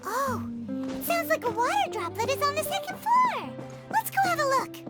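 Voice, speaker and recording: Casting: a cute, curious fairy sidekick in a game; squeaky-high, hugely animated delivery, music underneath. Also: female voice, moderate pace. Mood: excited. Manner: exclamatory, cute, wide-eyed. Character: small childlike fairy companion, curious, eager, third-person talker. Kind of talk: English video game voice acting.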